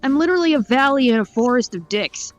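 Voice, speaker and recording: A young woman banters on a game stream, joking and swearing freely. Her voice is fairly high and very animated, with light music in the background. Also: fast, highs cut off. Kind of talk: casual chat.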